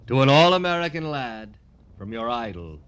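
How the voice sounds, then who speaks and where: Vain, flamboyant wrestler, swooping delivery. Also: male voice, mid-range voice, sing-song, normal speed, fairly bright tone, smooth. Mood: boastful, preening. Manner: brash, self-admiring, slangy. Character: vain, flamboyant, dim professional wrestler with a showy ego. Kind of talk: 1950s American radio crime drama acting.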